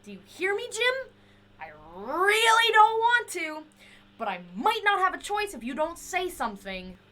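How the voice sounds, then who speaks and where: A woman's voice: very high voice, big pitch swings, measured pace, bright, forceful tone, heavily acted. A woman playing an eccentric, obsessive inventor in an audio drama, proud and volatile, speaking in formal, clinical research notes. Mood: desperate, agitated. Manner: formal clinical diction breaking into pleading outbursts. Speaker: voice actor.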